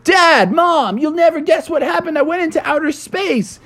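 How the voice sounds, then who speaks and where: An eager young boy frog from a spoken bedtime tale, voiced by a woman. He chatters high and bright, swinging up and down. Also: lively intonation, normal speed. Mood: excited.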